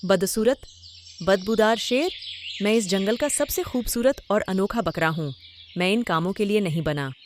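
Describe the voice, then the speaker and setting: Casting: vain bully of a goat played by a woman, loud scolding cartoon delivery, faint birds behind. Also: medium pitch, normal speed, a little hiss or hum, lightly acted. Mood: contemptuous. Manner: haughty, scolding.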